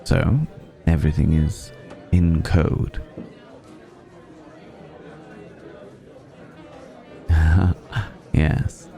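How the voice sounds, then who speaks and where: A man playing a suave, courteous vampire in an intimate ASMR roleplay, speaking in a refined, formal, gently amused way with lingering pauses. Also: rumbling low, little pitch movement, creaky, faint music behind, full character voice. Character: suave, courteous male vampire dining with a mortal guest.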